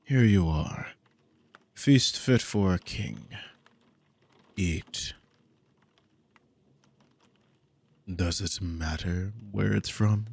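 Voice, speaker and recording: A man's voice: low voice, creaky, darkish tone, no room sound, full character voice. A man playing a dominant, possessive demon in an ASMR roleplay, mockingly amused and condescending, with teasing, formal, theatrical speech. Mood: stern, commanding. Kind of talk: ASMR audio roleplay spoken to the listener.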